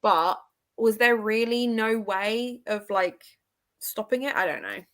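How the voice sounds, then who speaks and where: A woman of medium pitch leads a relaxed live readalong chat. She banters with the others and puts emphatic stress on her opinions. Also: a little slow. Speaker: readalong discussion host. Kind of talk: casual book discussion, live.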